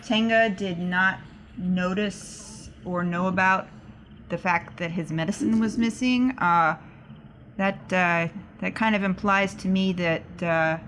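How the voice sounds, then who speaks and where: Woman player in an rpg, lowish voice, casual thinking out loud, noisy recording. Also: fairly even intonation, takes it slowly. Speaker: tabletop game player. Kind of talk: casual chat, thinking aloud in character. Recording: clear background noise.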